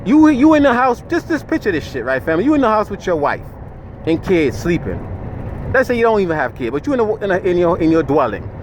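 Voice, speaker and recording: A man with a Jamaican accent speaks in a fairly high voice, ranting profanely to his followers from behind the wheel. His words tumble and restart as he gets heated. A faint hum of road noise from the car sits underneath him. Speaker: vlogger. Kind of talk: ranting commentary to camera. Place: inside a large vehicle, driving.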